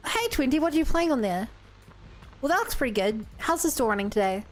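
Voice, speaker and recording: A woman's voice: high voice, very animated, brisk, a little hiss or hum. A woman with a New Zealand accent, a game streamer chatting casually and reading on-screen text aloud.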